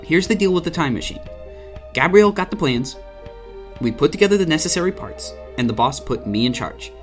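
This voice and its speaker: A man acts out a young male double agent in a radio play, brainy and evasive. He stammers and talks in slangy bursts, wheedling his listeners. Music plays clearly behind him. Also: a little high, expressive, a little fast, lightly acted. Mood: insistent. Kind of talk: audio drama acting.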